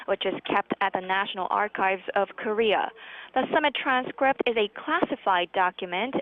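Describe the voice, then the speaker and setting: Phone-line audio, woman, middle pitch, political correspondent, live news report. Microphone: telephone. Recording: tinny narrowband phone line.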